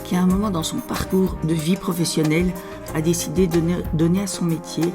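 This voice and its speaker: A woman hosting an interview podcast, guiding her guest with measured questions. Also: on the low side, steady tone, over music. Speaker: podcast host.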